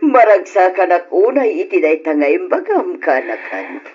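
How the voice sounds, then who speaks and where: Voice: mid-range voice, very animated, a little slow, music in the background. A performer playing a generous, insistent auntie in an old radio drama. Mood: eager, persuading. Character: generous, eager auntie urging a quick wedding. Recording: dull lo-fi old radio recording.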